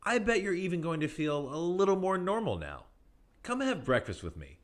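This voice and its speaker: An actor playing a pushy, overfamiliar academic colleague in an audio play, breezy, talkative and patronizing. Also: male voice, high-pitched, big pitch swings, normal speed. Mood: dismissive.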